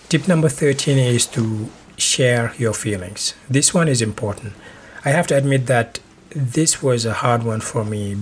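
A middle-aged man from Senegal hosting a podcast, giving earnest relationship advice in a measured way with small restarts. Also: medium pitch, a little hiss or hum. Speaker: podcast host.

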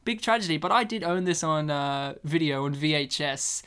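A man's voice: fairly high pitch, expressive, normal speed, sharp tone, clear, resonant tone. A man hosting a casual movie podcast, talking in a jokey, rambling way and laughing often. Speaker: podcast host.

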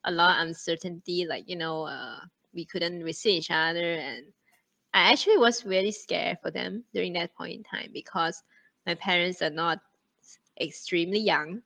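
Bright-voiced woman, chatty. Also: on the low side, moderate pace, creaky. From Thailand. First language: Thai. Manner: chatty, many fillers, laughs often. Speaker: guest. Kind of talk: casual conversation.

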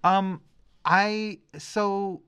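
A man's voice: sits high, fairly even intonation, very slow, a bit bright, clear, resonant tone. Rambling, opinionated man on a podcast. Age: middle-aged. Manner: rambling, emphatic, opinionated, thinking aloud. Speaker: podcast host, music reviewer. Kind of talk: casual podcast discussion.

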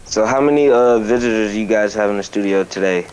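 A man's voice: fairly low pitch, brisk, a little mellow, smooth, muffled recording. A man calling in over a video call, chatting casually, swearing freely and stuttering now and then. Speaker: call-in guest.

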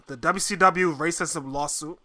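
A man's voice: fairly high pitch, slow, pushed and bright. A man co-hosting a podcast, chipping into casual chat. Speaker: podcast co-host. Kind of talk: casual wrestling talk.

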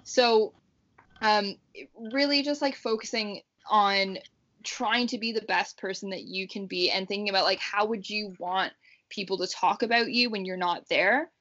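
A woman's voice: mid-range voice, normal speed, fairly bright tone. Rambling young woman, dull lo-fi audio. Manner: rambling, heavy use of "like". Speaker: guest, pro wrestler. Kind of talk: casual interview chat.

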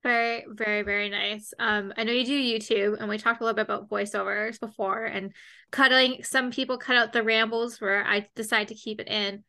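A woman hosting a casual interview, chatty and expressive, often repeating words as she starts a thought. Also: on the high side, brisk. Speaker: host.

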